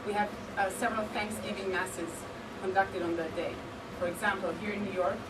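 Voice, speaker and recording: A woman's voice: mid-range voice, fairly even intonation. A woman with a foreign accent giving a formal speech for a foundation at a ceremony, partly read and full of fillers and restarts. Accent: non-native English, not Portuguese. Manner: halting, with frequent fillers and restarts. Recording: distant mic with loud room noise.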